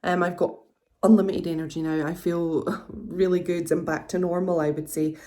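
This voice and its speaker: Casual vlogger, thirties, woman, congested nose, slightly low voice, rambling and chatty. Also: level tone, moderate pace, mellow. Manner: chatty, rambling, self-reassuring. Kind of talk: casual video diary.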